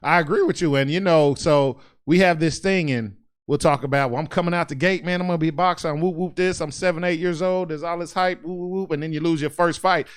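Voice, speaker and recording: Lively joking male podcast host. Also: fairly high pitch, fast talker, few pauses, clean tone. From United States. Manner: animated, joking, repeats phrases. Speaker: podcast host and ring announcer. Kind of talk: casual interview chat.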